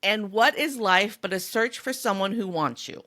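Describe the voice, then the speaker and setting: A woman chats as co-host of a book podcast. Her voice sits at an unremarkable pitch, and her delivery is casual and conversational. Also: normal speed, pushed and bright.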